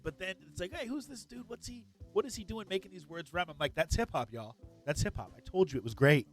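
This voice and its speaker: A man's voice: high-pitched, lively intonation, fairly quick, faint music behind. Upbeat man telling stories. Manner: enthusiastic storyteller, upbeat and joking. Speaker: podcast co-host and comedian. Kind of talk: casual podcast chat.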